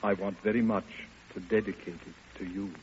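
An actor playing a weary, earnest composer in an old radio drama, speaking formally and theatrically. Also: male voice, low voice, fairly even intonation, normal speed, darkish tone, slight background noise, lightly acted. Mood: grateful, earnest. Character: weary, pleading male composer, earnest and courteous. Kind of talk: 1950s radio drama acting. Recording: dull old radio recording.

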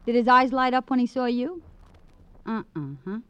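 Actress as a sassy, high-voiced working girl. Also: level tone, normal speed, fairly bright tone, smooth, dry recording, close to an everyday voice. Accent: American. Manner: sassy, slangy, coquettish. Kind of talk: old-time radio drama acting. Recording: dull vintage radio recording.

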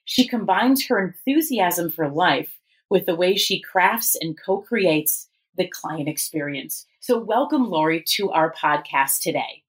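A woman's voice: on the low side, expressive, measured pace, breathy edge. A woman hosting a podcast, introducing and questioning her guest in a conversational interview style.

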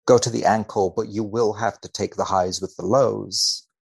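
A man speaking as a researcher guest on a podcast, commenting and reading in measured, formal wording. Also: on the low side, fairly even intonation, vocal fry, slightly rough, no room sound. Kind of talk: conversational commentary and reading aloud from a study.

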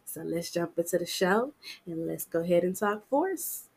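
A woman's voice: fairly low pitch, animated, moderate pace, gravelly, darkish tone, breathy edge. A woman hosting a casual TV recap podcast, talking to her listeners in a chatty, slangy, upbeat way while getting over a cold. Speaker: podcast host.

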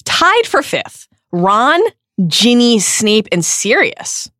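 A woman in her thirties co-hosting a podcast, speaking earnestly and with emphatic, drawn-out stress. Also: on the high side, big pitch swings, fairly bright tone, no room sound, clean studio sound. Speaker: podcast co-host. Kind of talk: heartfelt podcast chat.

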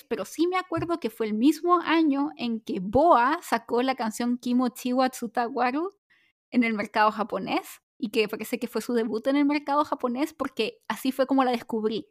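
A woman in her thirties co-hosting a chat podcast, chatty and upbeat, telling long flowing anecdotes. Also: a little high. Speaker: podcast co-host. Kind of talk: casual chat.

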